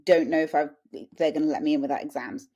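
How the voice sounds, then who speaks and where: A woman's voice: deep voice, steady tone, fast, dark tone, muffled recording. An English woman in her late twenties, chatting and telling anecdotes as an interview guest. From UK, small town in Suffolk. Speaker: podcast guest. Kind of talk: conversational interview.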